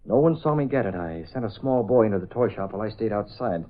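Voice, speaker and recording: An actor playing a shrewd, decisive newspaper boss with a brisk, authoritative manner in an old radio drama. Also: male voice, lowish voice, animated, lightly acted. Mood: confiding, purposeful. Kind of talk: 1930s-40s radio acting. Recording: tinny phone-like old radio recording.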